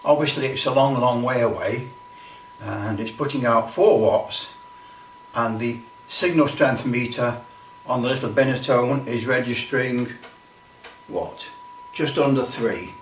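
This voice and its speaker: Man, mid-pitched voice, informal hobby demo, repetitive explanatory delivery, roomy echo. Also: slight background noise. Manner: explanatory, repeats himself, talks through each step. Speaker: presenter of a hobby demonstration. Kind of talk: informal step-by-step demonstration. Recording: roomy echo, distant microphone.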